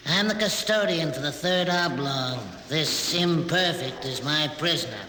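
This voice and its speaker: A man's voice: fairly high pitch, measured pace, bright, forceful tone. Curt male custodian, noisy old recording. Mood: curt, menacing. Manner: clipped, official. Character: curt, officious male prison custodian, quietly menacing. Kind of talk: 1950s radio drama acting.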